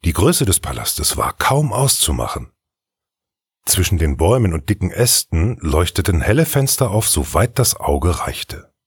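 A man with a husky voice narrating a scripted fairy tale in an expressive read-aloud style. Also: low voice, dry recording, studio quality. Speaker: storyteller, narrator.

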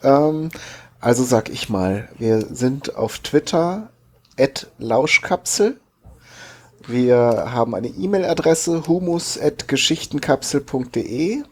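A man's voice: medium pitch, expressive, takes it slowly. A man co-hosting a casual group podcast, hesitantly searching for his words. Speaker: podcast co-host.

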